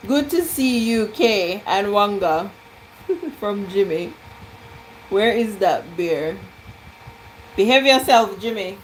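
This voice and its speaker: Slow-talking playful woman cooking live. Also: medium pitch, lively intonation, slight background noise, poor audio. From Jamaican heritage. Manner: playful, teasing, joking with chat. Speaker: cooking livestream host. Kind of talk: casual live cooking chat, reading out viewer comments. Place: home kitchen.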